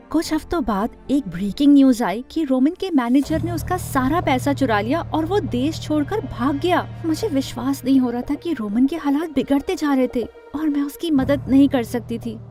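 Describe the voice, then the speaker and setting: High, mellow young storyteller. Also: female voice. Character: young woman earnestly recounting her own dramatic life. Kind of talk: first-person animated story narration.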